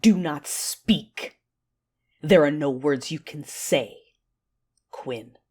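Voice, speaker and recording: A woman playing a betrayed, commanding dark-side warrior in an audio drama, narrating in the first person with intense, deliberate menace. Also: low-pitched, breathy edge, full character voice. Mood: cold, commanding.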